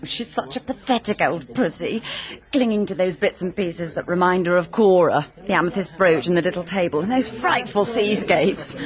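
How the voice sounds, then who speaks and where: An actress playing a sharp, scornful upper-class Englishwoman with a British accent in a radio drama, cutting and knowing. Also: lowish voice, expressive, normal speed, natural voice.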